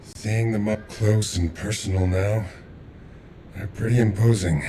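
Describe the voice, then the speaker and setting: A very low male voice for a scholarly video game officer, acted by a man. He briefs his team with measured authority and steadfast gravity, with noisy rumble behind him. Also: close to an everyday voice.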